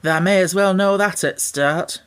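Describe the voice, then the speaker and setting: A man's voice: high voice, measured pace, fairly bright tone, clear, resonant tone, voice pushed up. Storybook role: young Yorkshire servant girl, homely and dutiful, broad dialect; performed by a man. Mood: gossipy. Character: plain-spoken Yorkshire young housemaid, anxious and dutiful.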